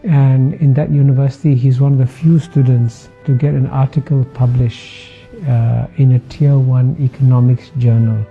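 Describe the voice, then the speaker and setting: Older Singaporean man, measured lecturing tone. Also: mid-range voice, steady tone, creaky, dull audio, soft background music. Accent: Singaporean English. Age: 70s. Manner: measured, with frequent "uh" pauses and soft laughs. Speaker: former dean of a public policy school. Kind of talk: reflective talk with a lecture tone.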